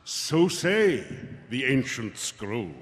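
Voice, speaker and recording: An actor playing a pompous, scheming grand master of a secret cult, grandiose and ceremonial, in live radio theatre. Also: male voice, mid-range voice, sing-song. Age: middle-aged. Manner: grandiose, slow ceremonial delivery. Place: stage in a large hall. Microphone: stand microphone. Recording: echoey live stage hall.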